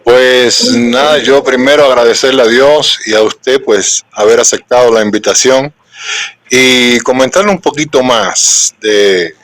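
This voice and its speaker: A man from Cuba with a Cuban accent, hosting a live-stream interview in an earnest, unhurried way. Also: mid-range voice, fairly bright tone. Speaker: host.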